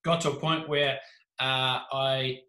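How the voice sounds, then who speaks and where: Bright-voiced man with a New Zealand accent. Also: medium pitch, slow pace. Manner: animated, gestures a lot, jokey. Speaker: podcast guest. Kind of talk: casual storytelling. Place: small room indoors. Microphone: video call with desk mic. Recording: echoey room.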